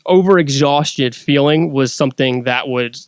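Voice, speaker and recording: A man's voice: mid-range voice, a little slow, smooth, no room sound, studio quality. A man speaking as a podcast guest, casually telling a personal story.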